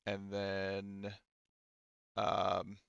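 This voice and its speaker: A man's voice: sits low, completely flat delivery, extremely slow, creaky, clean tone, no echo, highs cut off. A man running a tabletop roleplaying game as game master, talking through rulings in a casual, explanatory way.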